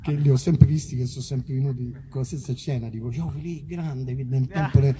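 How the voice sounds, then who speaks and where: A man's voice: mid-range voice, steady tone, brisk, mellow, long reverb tail. A man with a Roman Italian accent chatting casually on stage as a singer-songwriter, informal, rambling and self-deprecating as he tells stories. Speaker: singer-songwriter talking between songs at a concert. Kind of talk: casual onstage storytelling.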